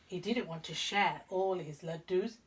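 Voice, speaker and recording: A woman narrating a children's story expressively as a storyteller, with clear emphasis for young listeners. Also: lowish voice, a little slow, far from the mic, slight background noise. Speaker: storyteller, school reader.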